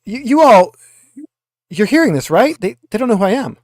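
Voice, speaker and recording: A man voicing a haughty, impatient corporate woman liaison in a tabletop game, talking down to people in an informal, halting way. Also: high-pitched, big pitch swings, dry recording, clean studio sound, pitched above the performer's own voice. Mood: indignant, condescending. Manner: dismissive, condescending, hesitant informal delivery.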